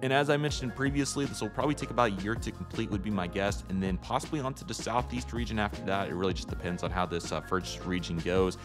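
A man's voice: on the low side, quick pace, few pauses. An American man hosting a hobby channel, giving an upbeat, conversational voice-over in a casual vlog style. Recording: music behind the voice.

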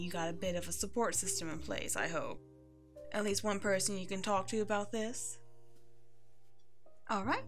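A woman's voice: medium pitch, fairly bright tone, close to an everyday voice. A woman playing a chatty, caring, playful hairstylist who comforts a client in an ASMR roleplay. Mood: gentle, reassuring. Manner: chatty, bubbly, reassuring. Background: background music.

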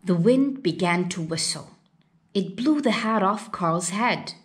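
A woman reading a children's story aloud in an expressive, deliberate way. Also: mid-range voice. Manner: unhurried, deliberate read-aloud delivery. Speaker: storybook reader.

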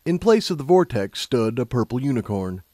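A man reading scripted fiction aloud as an expressive story narrator. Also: medium pitch, normal speed, dry recording, clean studio sound.

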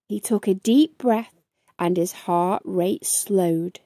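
Lady reading a kids picture book, slow and careful, high mellow voice. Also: dry recording, studio quality. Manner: expressive, careful storytelling. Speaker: storytime reader. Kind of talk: children's picture book read-aloud.

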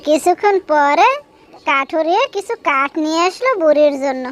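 A woman's voice: high voice, moderate pace. A woman storyteller reading scripted narration for a children's cartoon tale.

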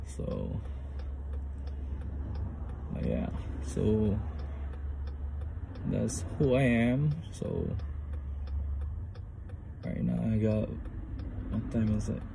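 A man's voice: fairly low pitch, huge pitch swings, drawn-out words, vocal fry, pauses often. A man in his thirties from the Philippines with a Filipino accent, chatting casually and rambling in loose English while vlogging from his car on a phone. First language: Tagalog. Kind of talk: casual vlog chat. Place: inside a car. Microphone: phone camera on the dashboard. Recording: car and road noise.

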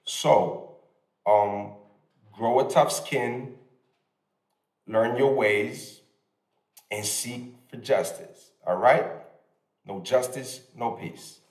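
A middle-aged man giving an informal, emphatic address with some street slang, played back over loudspeakers in a room. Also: mid-range voice, very slow, long pauses. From Balkans. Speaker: hip hop artist. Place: video played through speakers in a lecture room. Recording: echoey, distant loudspeaker playback of video.

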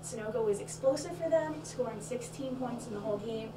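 A woman's voice: fairly high pitch, fairly quick. A young woman co-hosting a student basketball recap, chatty and reeling off stats. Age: young adult. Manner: chatty, reels off stats with fillers. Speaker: student sports analyst co-host. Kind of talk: postgame basketball analysis. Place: small studio with stadium backdrop. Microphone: handheld mic. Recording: echoey room, mic far, crowd noise behind.

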